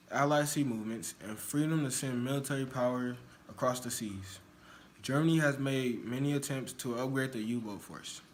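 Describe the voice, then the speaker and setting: A man's voice: medium pitch, slight background noise. A man reading a scripted news report aloud in an amateur recording.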